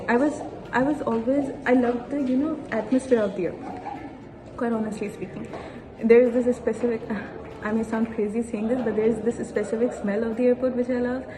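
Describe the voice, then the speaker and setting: A 21-year-old woman raised in Saudi Arabia, introducing herself as a guest in a casual interview, with hesitant pauses and restarts and some Urdu mixed in. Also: a little high, background noise. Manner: hesitant, many fillers and restarts, mixing in Urdu. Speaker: interview guest, aviation student.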